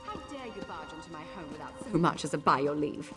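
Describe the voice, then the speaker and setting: A woman's voice: low-pitched, expressive, quick pace. An imperious elf noblewoman. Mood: indignant. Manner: imperious and indignant. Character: proud indignant female elf noblewoman, imperious. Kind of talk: video game voice acting. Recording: game audio with faint music.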